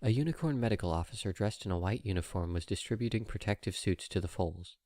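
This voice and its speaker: A man's voice: lowish voice, fast, a little mellow, no room sound, clean studio sound. A man reading a story aloud as an audiobook narrator, in scripted storytelling style.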